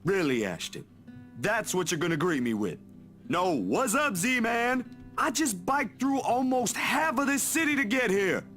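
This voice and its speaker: An actor playing an excitable, defensive young man in a visual novel, expressive and protesting. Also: sits high, a little slow, faint music behind, exaggerated voice. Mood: indignant. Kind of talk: dramatic visual novel voice acting.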